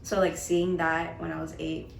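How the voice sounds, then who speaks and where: A woman's voice: on the low side, normal speed, gravelly. An eighteen-year-old woman talking casually about skating, with lots of "like" fillers. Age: young adult. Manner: relaxed, many "like" fillers. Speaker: skater. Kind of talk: casual interview answers. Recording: echoey room with background noise.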